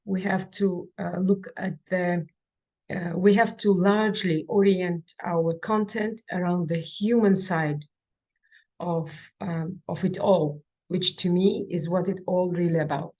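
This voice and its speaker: A woman giving a webinar presentation over a video call. She speaks haltingly and fills pauses with many ums and restarts. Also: on the low side, fairly even intonation, darkish tone. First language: Kazakh. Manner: halting, with frequent fillers and restarts. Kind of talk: online presentation and Q&A.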